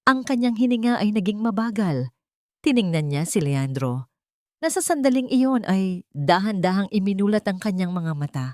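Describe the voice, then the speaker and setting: A woman's voice: mid-range voice, animated, normal speed, no echo, studio quality. A woman reading a scripted dramatic audio story as narrator, in a solemn, melodramatic manner.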